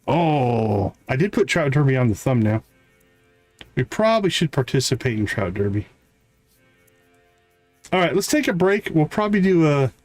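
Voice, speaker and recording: A man's voice: mid-range voice, dry recording. A man giving casual live gameplay commentary as a streamer, thinking aloud as he goes. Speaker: game streamer, host.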